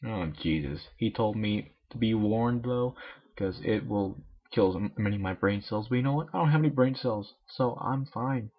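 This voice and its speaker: A man's voice: lowish voice, normal speed, husky, highs cut off. A young man reading fan fiction informally, with jokey, self-deprecating asides. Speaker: amateur fan fiction reader.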